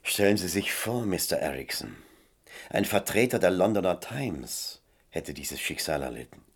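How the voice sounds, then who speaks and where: A scheming, domineering man with a low, creaky voice. His delivery is theatrical and self-pitying, sweeping widely in pitch, in the manner of a radio drama. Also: animated, normal speed, exaggerated voice. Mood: calculating. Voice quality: gravelly. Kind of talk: German radio play acting.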